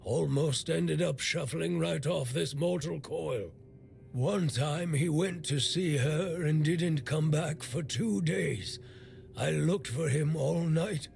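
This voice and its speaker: An actor playing a bitter, blunt, middle-aged blacksmith in a video game, grumbling and weary with worry. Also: male voice, fairly high pitch, takes it slowly, vocal fry, a little mellow, faint music behind, heavily acted. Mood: weary, worried. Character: gruff, bitter male blacksmith, worried for a stricken young man.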